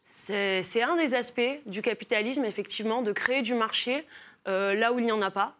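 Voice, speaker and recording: A woman's voice: a little high, a little fast. A woman from Marseille, a philosopher and author, explaining her ideas as a talk show guest with many hesitations. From Marseille, France. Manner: thoughtful, many hesitations with euh. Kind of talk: studio interview.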